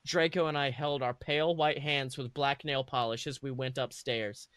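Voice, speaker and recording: Male podcast host, bright dry sound, theatrical and amused mock-serious fanfiction narration. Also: medium pitch, steady tone, normal speed, smooth, no room sound. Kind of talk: mocking storytelling, reading fanfiction aloud.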